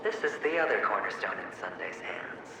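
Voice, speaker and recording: A man's voice: deep voice, very animated, normal speed, gravelly, full character voice. An actor playing the mocking inner double of a young man, taunting and slipping into hissed whispers, his voice echoing and distant. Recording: heavy echo, distant, game ambience noise.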